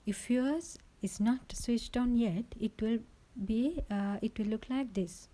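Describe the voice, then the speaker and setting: A woman narrates an informal instructional screen recording into her phone's built-in mic. Her voice sits on the high side and has a very mellow, relaxed tone. She explains things one step at a time, often tagging a point with a short check that the listener follows. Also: slow pace. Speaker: tutorial presenter. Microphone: built-in phone microphone.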